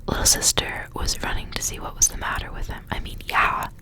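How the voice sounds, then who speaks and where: A woman whispering softly as she reads aloud in an ASMR style. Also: a little fast. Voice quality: soft unvoiced whisper. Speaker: ASMR reader and channel host. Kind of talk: whispered ASMR storybook reading with casual asides.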